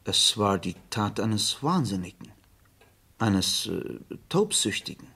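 An actor playing a detective's attentive, puzzled companion in a German radio play, speaking in a thoughtful, halting way. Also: male voice, on the low side, sing-song, creaky, sharp tone, slightly rough, heavily acted.

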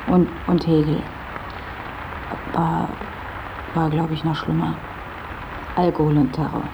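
Sharp female journalist, deep register, mocking, accusing, radio play, noticeable background noise. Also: vocal fry. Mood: tipsy, needling. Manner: sardonic, accusing, provocative. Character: sharp, mocking career-driven female journalist confronting a writer. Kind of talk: German radio play acting.

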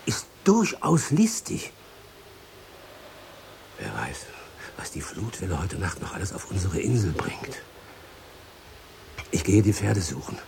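Tiefer, knarriger, ergebener alter Kutscher. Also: male voice, fairly low pitch, vocal fry, slight background noise, full character voice. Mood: firm, warning. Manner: hushed, pleading, intimate. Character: devoted old coachman, longtime family servant in love. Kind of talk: radio drama acting.

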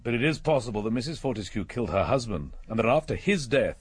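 Radio detective role: English inspector, methodical, husky mid-pitched voice, close dry sound. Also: male voice, big pitch swings, dry recording. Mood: probing, dry. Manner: measured, probing. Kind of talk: British radio drama acting.

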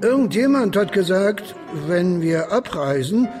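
Plain coachman, high voice, very slow halting speech, radio drama, music behind. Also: lively intonation, darkish tone. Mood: resigned, uneasy. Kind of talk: German radio drama acting.

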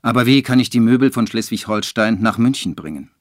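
A man's voice: on the low side, animated, fairly quick, no echo, studio quality, natural voice. A man acting as a harried writer, narrating his troubles in the first person in a radio drama. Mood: dry, matter-of-fact. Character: harried male writer narrating his dwindling fortunes, dry.

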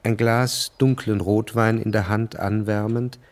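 A man narrating a scripted radio drama in a measured, formal manner. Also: on the low side, little pitch movement.

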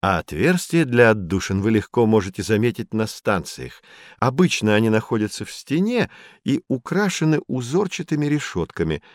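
A kindly professor in an educational audio play. He explains patiently and encouragingly, in a lowish, very expressive voice with a dry studio sound. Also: normal speed, no room sound. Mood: explaining. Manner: instructive, encouraging. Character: kindly, patient male professor guiding children.

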